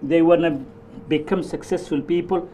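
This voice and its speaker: Halting middle-aged male studio host. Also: fairly high pitch, steady tone, mellow, a little hiss or hum. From Somalia. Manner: halting, many fillers and restarts. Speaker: TV talk show host. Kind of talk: studio discussion, moderating. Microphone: lapel mic.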